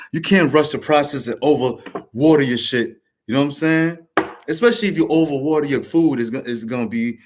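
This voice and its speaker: Male vlog host, mid-pitched, rambling and repetitive with many restarts. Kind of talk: casual motivational monologue.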